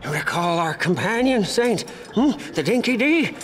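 An actor playing a manic, devout wasteland car mechanic in a video game, babbling and stammering with zealous reverence. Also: male voice, high voice, very animated, normal speed, fairly bright tone, soft background music. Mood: excitable, fussing. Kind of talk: theatrical video game character acting.

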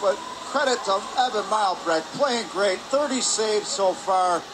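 A man's voice: high voice, measured pace. A man giving live hockey analysis as a color commentator, conversational with hesitant phrasing. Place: press area above rink in an indoor arena. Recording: noisy ice arena, steady background din.

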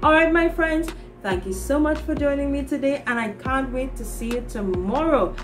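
Higher-pitched Trinidadian woman teaching preschoolers. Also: animated, moderate pace, far from the mic, faint music behind. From Trinidad and Tobago. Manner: simple, instructive phrasing addressed to young children. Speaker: children's show host, preschool teacher. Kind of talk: presenting a preschool circle-time lesson to children. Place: small indoor room with a classroom display wall.

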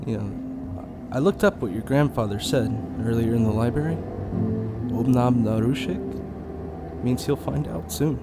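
A man's voice: mid-range voice, moderate pace, over loud music, natural voice. A man playing an impatient, wisecracking young sidekick in an audio drama, nervy and flippant. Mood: curious, flippant.

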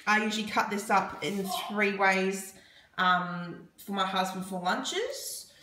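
A woman's voice: medium pitch, expressive, slow pace. A young Australian woman chatting casually through a grocery haul, rambling with lots of ums, filmed on a phone at home. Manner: chatty, many ums, rambling price tallies. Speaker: vlogger. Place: home kitchen, indoors. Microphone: phone camera held over the counter. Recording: echoey room with loud background noise. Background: husband and dog heard.

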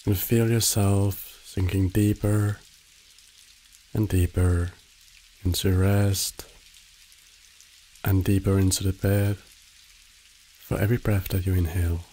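A man narrating a guided meditation in a soothing, measured way, giving short instructions with long pauses. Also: sits low, level tone, mellow, a little hiss or hum, low-quality recording.

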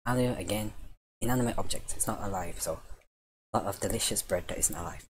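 A man's voice: on the low side, fast, slight background noise. A man teaching a casual vocabulary lesson over his screen, voice dry and tiring, easygoing and correcting himself as he goes. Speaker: Japanese language teacher.

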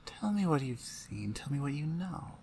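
A woman voicing a blunt, domineering orc shaman, an older sister, in a deliberate, condescending ASMR roleplay. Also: low voice, big pitch swings, creaky, a little mellow, slight background noise, heavily acted. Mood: exasperated, lecturing. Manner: deliberate, pointed, condescending, interrogating. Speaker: audio roleplay voice actor. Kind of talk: ASMR roleplay, one-sided dramatic dialogue.

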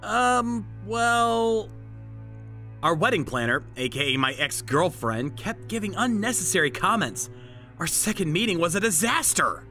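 A man's voice: high voice, very animated, a little slow, bright, forceful tone, faint music behind, full character voice. A man playing an earnest, easily flustered young groom who narrates and speaks in a manga dub. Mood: uneasy.

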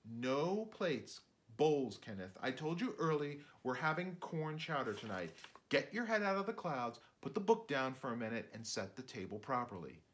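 A man voicing a brisk, motherly rabbit mom in a children's storybook, fussing and giving orders. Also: medium pitch, sing-song, pitched above the performer's own voice, close to an everyday voice. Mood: scolding. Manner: scolding, fussing, commanding.

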